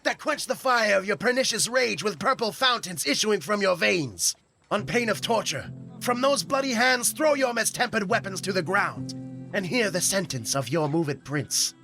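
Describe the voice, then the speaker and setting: A stern, furious prince, acted by a man in a Shakespearean audio play. The voice sits high and rings out sharply. The delivery is declamatory and commanding, over faint music. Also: animated, moderate pace, creaky, exaggerated voice. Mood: outraged, commanding. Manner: declamatory, forceful. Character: angry, commanding prince rebuking brawling subjects.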